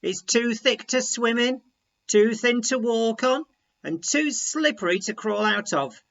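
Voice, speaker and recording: A man's voice: very high-pitched, measured pace, creaky, fairly bright tone, dull audio, voice pushed up, full character voice. A man voicing a weary, defeatist little frog who complains plaintively in a children's story. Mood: weary, hopeless.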